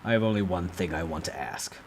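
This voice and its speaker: A man's voice: sits low, expressive, fast talker, slight background noise, voice pushed down. A man voicing an intimidating young man, a cold and ruthless regressor who speaks in curt, threatening commands in a dramatic novel reading. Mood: cold, stern.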